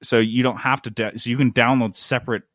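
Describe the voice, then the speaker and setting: A man hosting a casual gaming news discussion, talking in an informal, slangy and animated way. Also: mid-range voice, quick pace, dry recording, clean studio sound. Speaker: podcast host.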